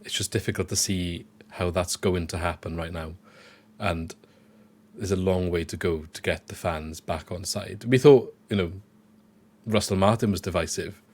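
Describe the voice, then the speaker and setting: A man hosting a casual football podcast, his voice croaky from illness, talking conversationally with frequent restarts. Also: deep voice, steady tone, normal speed, a little mellow. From Wales. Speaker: podcast host.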